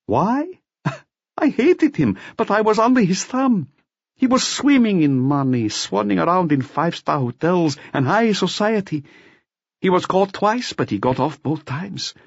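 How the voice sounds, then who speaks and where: A man's voice: sits high, big pitch swings, unhurried, vocal fry, slightly rough, no echo, muffled recording, pitched above the performer's own voice, heavily acted. A man voicing a bitter, self-loathing drunkard who confesses with agitated self-pity in an audiobook. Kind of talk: audiobook character acting.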